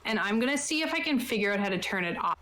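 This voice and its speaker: A woman with a mid-pitched voice reads game rules aloud like a streaming game master. She adds casual, chatty explanations as she goes, speaking into her own mic on a voice call. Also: lively intonation, racing, sharp tone, reverberant. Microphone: own microphone on an online voice call.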